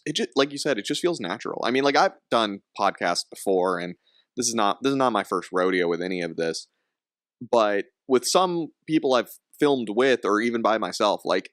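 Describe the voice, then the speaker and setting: Low-voiced young man hosting a podcast. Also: fairly bright tone, clean tone, dry recording, studio quality. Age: young adult. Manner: rambling, laughs often, many restarts. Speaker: podcast host. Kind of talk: casual podcast chat.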